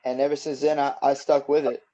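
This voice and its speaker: A man's voice: medium pitch, level tone, brisk, mellow, dull audio. A man in his twenties, a boxer guest, chatting casually in an easygoing, rambling way with lots of fillers. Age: 20s. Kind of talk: casual interview chat.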